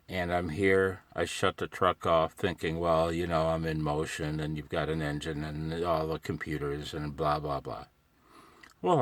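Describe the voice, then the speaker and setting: A man's voice: extremely low pitch, a bit bright, no room sound. An older American man rambling casually to himself on a vlog from inside a parked truck, with a wry, folksy manner. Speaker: amateur radio hobbyist vlogger.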